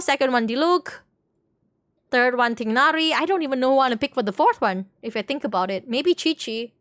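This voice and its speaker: A woman with a fairly high voice streams in a casual, chatty style. She rambles in gamer slang and often doubles back on her phrases. The sound is close and fairly dry. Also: a bit bright, no echo. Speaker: game livestreamer. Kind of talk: casual stream chat with viewers.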